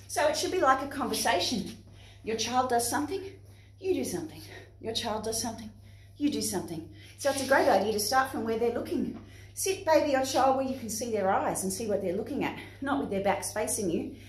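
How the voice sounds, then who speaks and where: A woman with an Australian accent presenting to young children and parents in an expressive, instructive way. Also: a little high. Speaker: playgroup facilitator and presenter. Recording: echoey room, distant microphone.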